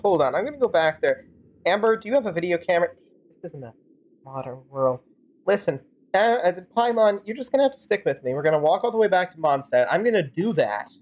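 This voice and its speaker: A woman's voice: fairly low pitch, big pitch swings, fast, a little mellow. A woman streamer improvising rambling, sarcastic commentary and role-play while playing a game. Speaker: streamer fan-dubbing a game.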